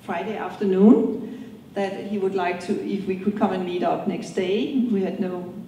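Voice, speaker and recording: Woman, Danish accent, mellow dark tone, hesitant with fillers, distant mic in a booming hall. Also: fairly even intonation, unhurried, slight background noise. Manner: hesitant, many ums and restarts. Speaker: company co-founder, guest. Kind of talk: on-stage interview answers. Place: event hall. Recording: echoey hall from far off.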